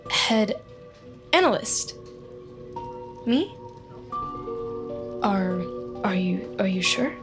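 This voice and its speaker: A woman's voice: medium pitch, expressive, extremely slow, pauses often, over music. An actress playing an anxious, obsessive young woman who talks in a nervous, stammering way in an audio drama. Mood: stunned, tentative. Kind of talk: audio fiction acting.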